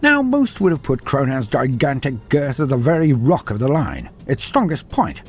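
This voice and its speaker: A man reading a scripted story aloud with dramatic, expressive delivery. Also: mid-range voice, normal speed, husky, soft background music. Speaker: narrator.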